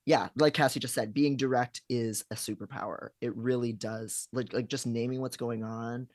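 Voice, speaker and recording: A man's voice: mid-range voice, steady tone, no echo, clean studio sound. A man co-hosting a live stream, chatting casually with lots of likes and restarts. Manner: halting, filler-heavy, frequent restarts. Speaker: stream co-host. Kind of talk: casual chat.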